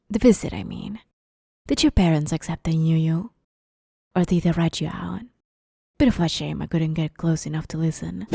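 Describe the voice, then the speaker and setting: A smug, playful vampire woman, acted by a woman for an ASMR roleplay, with a slightly low, dark and velvety voice. She teases and threatens in a slow drawl that often sinks into whispers, recorded close and dry. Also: no echo. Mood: veiled threat. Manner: teasing, drawling, often dropping to breathy whispers. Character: playful, smug, menacing female vampire interviewer. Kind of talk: ASMR roleplay, scripted monologue.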